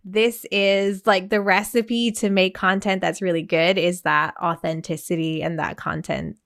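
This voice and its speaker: A woman's voice: on the low side, flat delivery, unhurried, vocal fry, a bit bright. Podcast host, woman: enthusiastic, relatable interviewer, casual delivery. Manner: enthusiastic, relatable, asks open questions.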